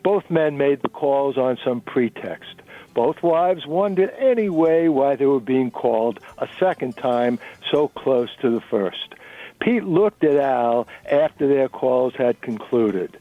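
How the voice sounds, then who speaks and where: A man's voice: mid-range voice, expressive, takes it slowly. A man narrating a novel in a dramatic reading. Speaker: narrator and reader. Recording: narrow-band radio recording.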